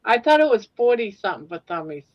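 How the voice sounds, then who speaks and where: A woman's voice: a little high, sing-song, fast talker, crowd noise. A woman in her sixties chatting playfully on a livestream. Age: 60s. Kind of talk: casual livestream chat. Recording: dull lo-fi audio.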